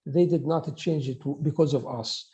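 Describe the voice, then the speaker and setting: A middle-aged man from Egypt, giving a conference talk in a halting way with restarts and fillers. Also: fairly high pitch, mellow, muffled recording. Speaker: conference presenter, professor.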